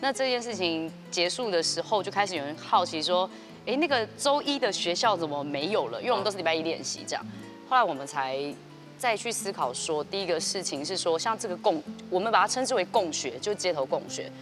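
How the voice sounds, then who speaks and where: A Taiwanese woman in her thirties explains things quickly and fluently in a notably low voice. Music plays clearly under her in a TV studio. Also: expressive. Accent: Taiwanese Mandarin. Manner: fluent, measured explaining with fillers. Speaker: guest, dance company artistic director. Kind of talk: interview answer. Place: indoor TV studio set.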